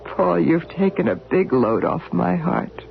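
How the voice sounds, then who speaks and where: A woman's voice: on the low side, expressive, a little slow, vocal fry, a little hiss or hum, exaggerated voice. An actress in her thirties playing a tender, tearful grieving wife in a 1970s radio drama, heard through a thin, narrow-band old radio recording. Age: 30s.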